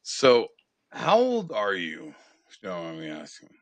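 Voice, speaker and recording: Deep-voiced enthusiastic male interviewer. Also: wildly swooping pitch, unhurried, dry recording, muffled recording. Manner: enthusiastic, blunt and profane. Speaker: interviewer and channel host. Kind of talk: casual interview hosting.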